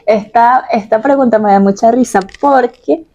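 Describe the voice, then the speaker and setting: A woman's voice: a little high, a little mellow, muffled recording. A woman from Venezuela with a Caracas accent, chatting casually and confidingly about herself in a personal vlog, stretching words for emphasis. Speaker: vlogger answering personal questions.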